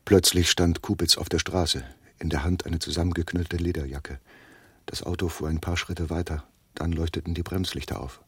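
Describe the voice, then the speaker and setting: Very deep grave male narrator. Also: little pitch movement, moderate pace, fairly bright tone, husky, no echo, heavily acted. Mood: grave, measured. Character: shaken, wry man recounting a deadly shootout. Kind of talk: German radio play, first-person narration and dialogue.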